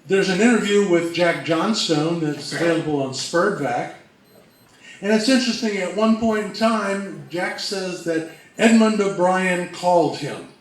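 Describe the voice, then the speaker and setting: A man presenting an informal history talk in a deliberate, pausing way, from a distance in a very echoey room. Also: sits high.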